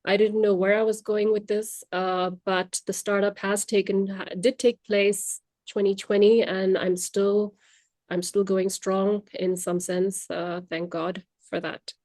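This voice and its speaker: A woman's voice: mid-range voice, level tone, a little slow, darkish tone, no room sound. A young adult woman, Tamil native, talking about her work as a language trainer in a reflective, chatty way, with fillers and restarts. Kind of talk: casual interview. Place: Luxembourg.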